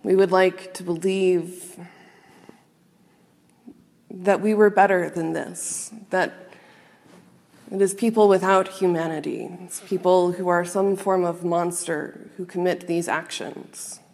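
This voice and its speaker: A woman minister giving a sermon to a congregation, speaking in a measured, earnest and reflective way with pauses. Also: on the low side, steady tone, gravelly, a little mellow. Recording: long reverb of a large hall.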